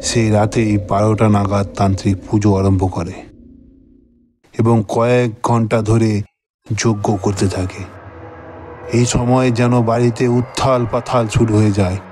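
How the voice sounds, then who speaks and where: A man tells a scripted ghost story in a dark, warm voice. His delivery is slow, measured and dramatic, with music playing behind him. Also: on the low side, little pitch movement. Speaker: first-person story narrator. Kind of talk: scripted horror story narration.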